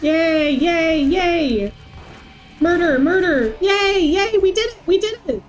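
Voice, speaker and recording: A woman's voice: very high-pitched, lively intonation, takes it slowly, faint music behind. A woman streamer chatting casually and playfully over live gameplay, chirpy and whimsical. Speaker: game streamer.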